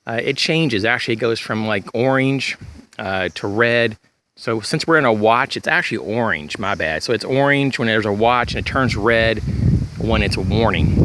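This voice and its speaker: A man giving an informal, unscripted gear demonstration in a halting, rambling way, like a hobbyist vlogger. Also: fairly low pitch, a bit bright. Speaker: prepper vlogger presenting gear. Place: outdoors, in breezy weather. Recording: clear background noise, outdoor ambience.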